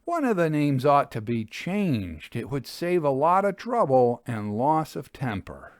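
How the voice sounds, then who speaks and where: A man's voice: on the high side, big pitch swings, measured pace, dry recording. Plain-spoken country roadside worker played by a man, earnest and folksy, storybook style, dry sound. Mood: earnest, helpful. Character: plain-spoken rustic English village man working by the roadside. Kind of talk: storybook character voice.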